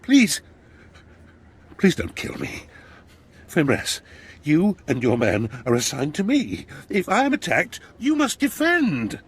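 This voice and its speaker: Swooping, husky, oily nobleman. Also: a little high, huge pitch swings, slow pace, long pauses, exaggerated voice. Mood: pleading, frightened. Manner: theatrical, ingratiating. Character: oily, cowardly male noble schemer, fawning and self-serving. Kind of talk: cinematic game voice acting.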